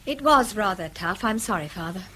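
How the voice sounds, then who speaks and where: An actress playing a patient, weary housewife in a radio drama, speaking with restraint. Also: female voice, medium pitch, animated, brisk, fairly bright tone, husky. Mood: apologetic. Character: patient, weary British daughter-in-law managing a household. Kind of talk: British radio drama acting.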